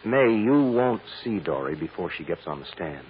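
An actor playing a calm, protective defense attorney in a radio drama, measured and firm. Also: male voice, deep voice. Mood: grave, firm. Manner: measured, deliberate. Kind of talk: 1950s radio drama acting. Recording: dull noisy old radio recording.

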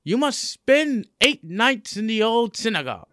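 A man putting on a grave, high-voiced rabbi. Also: very animated, unhurried, pushed and bright, no echo, faint music behind, exaggerated voice. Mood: warning. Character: worried village rabbi, earnest and grave.

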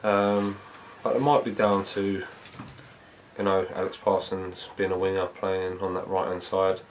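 Football boss after a match, deep flat voice, lots of ums, faint hum behind. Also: male voice. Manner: measured, reflective, many fillers. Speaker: football manager. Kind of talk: post-match interview. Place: small indoor press room before a sponsor board.

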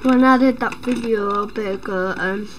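A woman's voice: mid-range voice, moderate pace, background noise. A woman hosting her own gaming videos and losing her voice, rambling through casual gameplay commentary with sudden startled exclamations. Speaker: gaming channel host.

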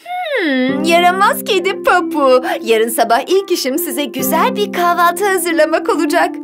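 A sweet, gentle young heroine in a children's fairy-tale cartoon. Her voice is extremely high and kindly. Music plays clearly behind her. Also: very animated, measured pace, full character voice. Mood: friendly, kind.

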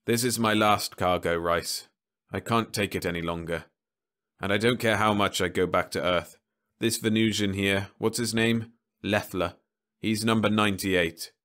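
A weary, bitter man who has hauled too many corpses, his low voice grim and tired. A male narrator reads him close to his own voice. It is an audiobook reading. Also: steady tone, normal speed, a bit bright, close to an everyday voice. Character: weary, bitter male morgue-ship captain. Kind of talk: audiobook character voice.

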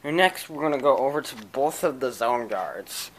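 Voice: mid-range voice, lively intonation, moderate pace, slight background noise. A person hosting a home video in a casual show-and-tell style, recorded on a handheld camera in a small room. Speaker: amateur video host showing a radio collection. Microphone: handheld camera close to the speaker.

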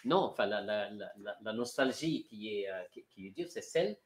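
A man from Morocco, a poet and translator, speaking slowly and haltingly with many restarts at a panel talk before an audience. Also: on the low side, animated. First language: Moroccan Arabic. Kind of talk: panel discussion. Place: café venue with audience.

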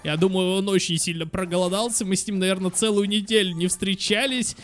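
A man with a high-pitched voice hosts a children's gaming video. He comments casually on the gameplay as he goes. His manner is excitable and affectionate, slipping into cutesy baby talk. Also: brisk, a little hiss or hum.

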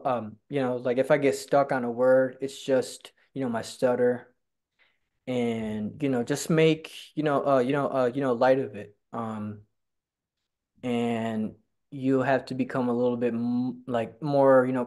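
A man's voice: mid-range voice, a little mellow. Young man who stutters, many fillers. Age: young adult. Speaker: guest. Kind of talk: casual video-call interview. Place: home room by a staircase. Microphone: laptop webcam on a video call.

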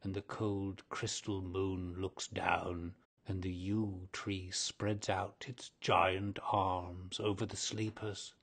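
A sorrowful, tired old ghost who has not slept for centuries, as a male actor plays him. The voice is husky and rather low. He drags each word out slowly. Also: fairly even intonation. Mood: dreamy. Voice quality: husky, slow and dreamy. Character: lonely weary old male ghost, melancholy and meek. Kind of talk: audiobook drama reading.

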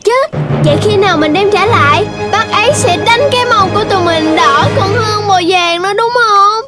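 A woman's voice: extremely high pitch, moderate pace. A woman voicing a small, earnest animal child in a children's cartoon, inquisitive and childlike. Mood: concerned. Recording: slightly distant voice with music behind.

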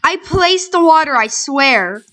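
A young woman playing a block-building game with a friend, chatting casually, excitable and insistent, dropping to murmurs while she plays. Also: high voice, measured pace. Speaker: gamer. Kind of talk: casual let's play chat.

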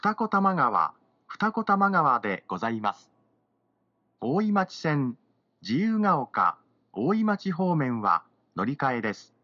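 A man's voice: on the high side, very animated, takes it slowly, pushed and bright. A man making station announcements, caught in a dull and noisy recording.

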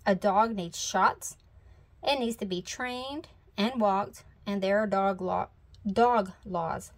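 A woman's voice: medium pitch, takes it slowly. Storybook mama bear, read by a woman: sensible, firm, careful explainer. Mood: firm, cautious. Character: sensible, cautious mother bear.

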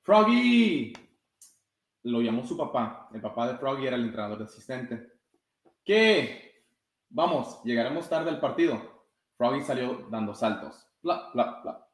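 A man's voice: medium pitch, very animated, measured pace, long pauses. Young man reading aloud, echoey room. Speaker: soccer goalkeeper reading a children's book. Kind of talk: read-aloud storytime. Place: small room with blinds, indoors. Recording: roomy indoor webcam sound.

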